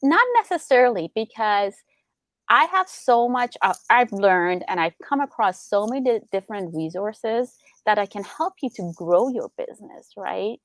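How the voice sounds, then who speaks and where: A woman's voice: medium pitch, lively intonation, moderate pace, dry recording. A young adult woman from Iran, a business attorney, explaining in casual interview chat with lots of rhetorical questions.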